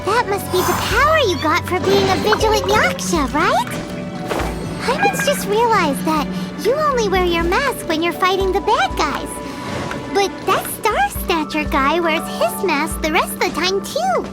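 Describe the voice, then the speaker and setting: Squeaky, excitable little fairy girl. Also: unhurried, heavily acted. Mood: curious. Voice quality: squeaky, childlike. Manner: excitable, speaks of herself in third person. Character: tiny chatty childlike fairy companion girl, excitable, tender-hearted. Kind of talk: video game character acting. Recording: loud background music. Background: game music.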